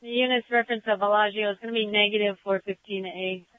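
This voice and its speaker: A woman's voice: mid-range voice, fairly even intonation, fast, no echo. A woman working as a police dispatcher, relaying calls over a police radio. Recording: narrow, tinny police radio transmission.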